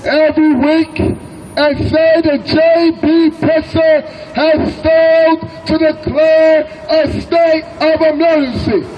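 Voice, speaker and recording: Very high-pitched American man warning urgently. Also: level tone, slow pace, dull audio. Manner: urgent, admonishing. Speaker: street protester. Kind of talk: street speech. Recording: traffic noise behind.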